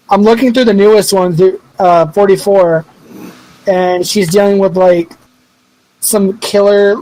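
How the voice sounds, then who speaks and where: A man's voice: sits high, a little mellow, dry recording, low-quality recording. A man in his twenties in casual podcast chat, rambling and swearing freely as a co-host. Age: 20s.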